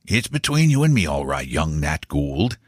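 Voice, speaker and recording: A man's voice: a bass voice, big pitch swings, moderate pace, no room sound, clean studio sound, heavily acted. A man voicing an amused, indulgent, jovial uncle in a storybook. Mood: teasing. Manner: jovial, teasing. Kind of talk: storybook character dialogue.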